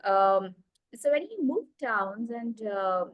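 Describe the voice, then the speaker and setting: A woman's voice: mid-range voice, expressive, slow pace, no echo, dull audio. A woman in her thirties with an Indian accent, a guest telling her life story eagerly over a video call, with many fillers. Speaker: guest, executive coach. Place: small room indoors.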